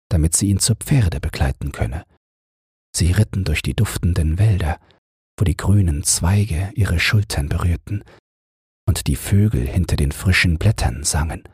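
A man reads a bedtime fairy tale in a low, heavily breathy voice. His delivery is slow, calm and soothing, as a measured audiobook narration in a dry, close sound. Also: no room sound.

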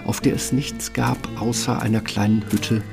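A man's voice: fairly low pitch, flat delivery, moderate pace, creaky, over music. A man narrating a fairytale radio play as a scripted storyteller.

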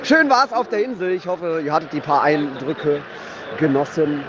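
A man's voice: a little high, normal speed. A man giving a casual, chatty football report on site from a stadium, informal and joking. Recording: dull recording amid stadium crowd noise. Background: crowd and outdoor noise.

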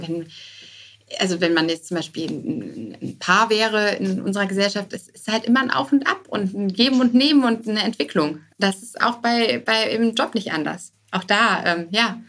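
Woman on a podcast, chatting informally, drops english startup words, mid-pitched voice. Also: moderate pace, a bit bright. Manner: informal, youthful slang with English buzzwords. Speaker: podcast guest, start-up co-founder. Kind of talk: casual interview conversation.

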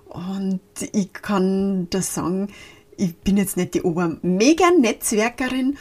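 Chatty Austrian woman, breathy voice. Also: mid-range voice, a little mellow. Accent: Austrian German. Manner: chatty, switches between formal speech and dialect. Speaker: podcast host and business coach. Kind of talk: casual solo podcast talk.

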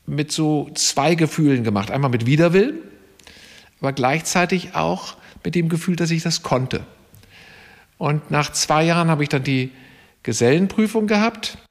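A man in his sixties speaks with a gravelly, creaky voice, candid and reflective as he recounts his path. His words carry a long reverb from the room around him. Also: a little high. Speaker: estate owner, farmer and former journalist. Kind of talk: conversational interview answers.

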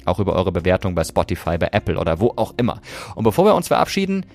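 A man's voice: deep voice, very animated, rapid-fire, gravelly, darkish tone, faint music behind. A man, hosting a podcast with scripted narration. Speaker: podcast host.

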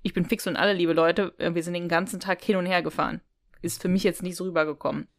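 A woman's voice: fairly low pitch, fairly even intonation, fast talker, dry recording, studio quality. A woman co-hosting a podcast, casually discussing an audio drama in a colloquial, questioning way. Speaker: podcast co-host.

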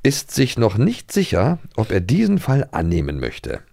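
A man's voice: on the low side, huge pitch swings, moderate pace, gravelly, warm tone. A man co-hosting a podcast in a casual, informal and playful way. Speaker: podcast co-host. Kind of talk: casual chat, playful quiz reading.

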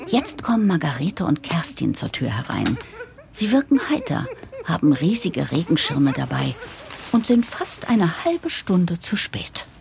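Wry, harried boss, lively pitch. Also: female voice, gravelly, a little hiss or hum, exaggerated voice. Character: harried, idealistic new female managing director narrating office chaos. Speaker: narrator and lead character. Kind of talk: scripted audio drama narration.

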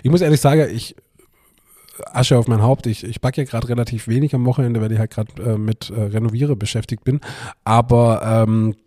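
A man's voice: medium pitch, steady tone, a little fast, mellow, no echo. A man co-hosting a podcast in casual chat, with a dry, joking manner. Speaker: podcast co-host.

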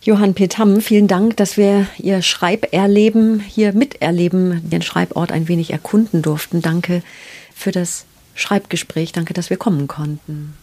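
Woman, slightly low pitch, husky, conversational literary host. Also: steady tone, measured pace, darkish tone. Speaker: interviewer and host.

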